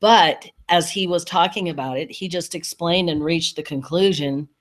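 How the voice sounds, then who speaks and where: A man's voice: fairly high pitch, moderate pace, fairly bright tone. A middle-aged man co-hosting a podcast in casual conversation, speaking bluntly with casual swearing. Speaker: podcast co-host. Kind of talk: casual chat.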